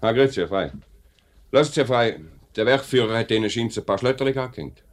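An actor playing a courteous, firm factory director with a Swiss German accent in a 1950s radio play. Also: male voice, mid-range voice, fast talker, natural voice. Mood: conciliatory. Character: measured, authoritative male factory director, fair-minded boss.

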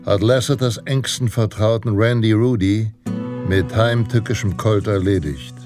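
An actor playing a solemn western storyteller, narrating in a deliberate, portentous manner. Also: male voice, fairly low pitch, natural voice. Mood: grave, heroic. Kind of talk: dramatic scripted western narration. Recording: guitar music behind. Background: plucked guitar music.

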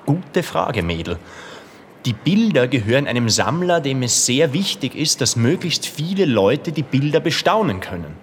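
A man's voice: mid-range voice, sing-song, normal speed, a little hiss or hum, close to an everyday voice. A man playing a mannered, patronising and hesitant museum guide giving a tour in a children's radio play. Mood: patronising, evasive. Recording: echoey gallery hall, background ambience.

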